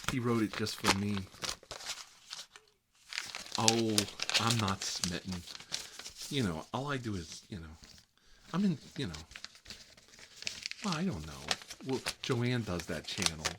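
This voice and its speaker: A man's voice: lowish voice, lively intonation, measured pace, long pauses, very noisy. A man playing a fussy, gossipy fellow who lives with his mother, rambling and repeating himself in an ASMR comedy role-play. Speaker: ASMR channel host. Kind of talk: ASMR comedy role-play, one-sided chat with a visitor.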